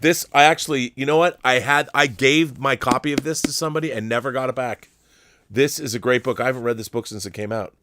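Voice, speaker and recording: A man's voice: mid-range voice, no echo. A man hosting a live stream in a casual, chatty style, effusive and full of enthusiastic exclamations. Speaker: host of a live stream show. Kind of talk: casual unboxing chat and letter reading.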